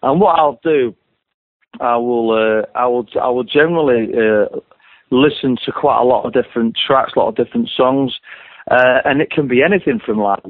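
Man, deep voice, middle-aged, rambling with restarts, dry phone-line sound. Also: normal speed, no echo. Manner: rambling, many restarts and repeated words. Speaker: singer-songwriter guest. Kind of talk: casual interview talk.

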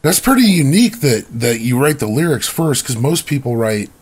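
A man's voice: mid-range voice, big pitch swings, moderate pace, breathy edge. A man interviewing a musician, asking loose, rambling questions in a casual way.

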